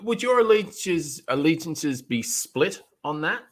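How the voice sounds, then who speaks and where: A man's voice: a little high, very animated, measured pace, over a noisy crowd. A man hosting a podcast in casual chat, rambling with many ums and playful restarts. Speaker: podcast host.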